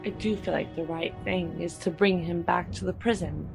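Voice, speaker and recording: Lowish-voiced woman gamer over music. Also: steady tone, moderate pace, gravelly. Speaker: tabletop game player. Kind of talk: casual in-game discussion. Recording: music under the voice.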